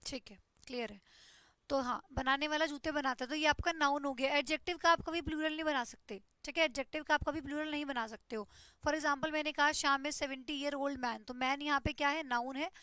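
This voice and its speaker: A woman's voice: sits high, steady tone, a little fast, bright, forceful tone, dry recording. A woman teaches an online English grammar class, explaining step by step and checking in with "ठीक है". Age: 20s.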